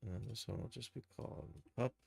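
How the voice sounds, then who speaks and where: A man giving an informal live software tutorial, thinking aloud and muttering steps to himself as he works. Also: lowish voice, animated, fast, gravelly, husky, no echo. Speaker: live streamer, game and cartoon developer.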